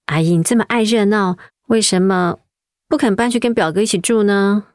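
An actress playing a caring young mother in a radio drama, with a gentle, reassuring way of talking. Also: mid-range voice, fairly quick, no room sound, studio quality, natural voice.

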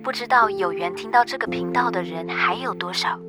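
A woman plays a resistance broadcaster on secret radio. She is solemn and tender, dropping at times to a hush, while music swells loudly behind her mid-pitched voice. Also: a little fast, natural voice. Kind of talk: scripted dramatic monologue. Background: loud instrumental music.

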